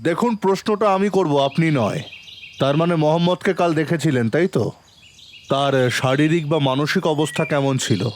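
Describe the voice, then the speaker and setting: A man playing a composed, probing police inspector who narrates his case in a dramatic, deliberate audio-drama style. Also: fairly high pitch, a little hiss or hum, natural voice. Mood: firm, questioning. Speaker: narrator and lead actor in an audio story. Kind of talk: dramatic first-person detective story narration. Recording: long reverb, music underneath.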